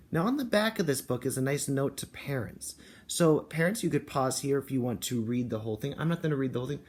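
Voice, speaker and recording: Guy kindergarten teacher doing a read aloud, normal pitch, expressive n encouraging, bit of background noise. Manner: expressive, encouraging, simple child-directed phrasing. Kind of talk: picture book read-aloud and talk to children and parents.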